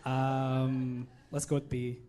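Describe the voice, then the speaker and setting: A man's voice: mid-range voice, monotone, slow pace, dark tone. A man playing along as an audience contestant, bantering casually and playfully. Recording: noisy live audience room.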